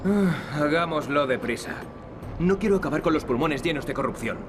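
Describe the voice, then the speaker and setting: An actor playing a cocky, wisecracking young adventurer hero in a video game. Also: male voice, fairly high pitch, lively intonation, brisk, very noisy, close to an everyday voice. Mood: wry determination. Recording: loud game music behind. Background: music.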